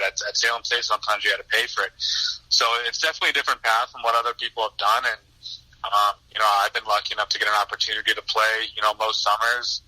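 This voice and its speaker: A man's voice: on the low side, sharp tone, no echo, low-quality recording. A young man in his twenties from the Washington DC area, a pro hockey player answering a podcast question in a casual, rambling way full of restarts and "you know" fillers. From Washington DC area, United States.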